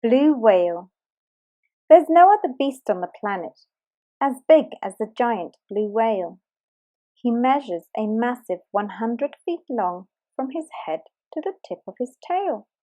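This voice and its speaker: A woman reading a rhyming children's picture book aloud in an animated, sing-song way. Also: a little high, unhurried. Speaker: storybook reader.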